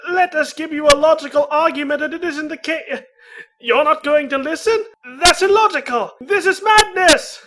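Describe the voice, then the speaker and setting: A man's voice: extremely high pitch, moderate pace. A man voicing a nervous, fussy royal servant in a comedic fan dub, flustered and pleading.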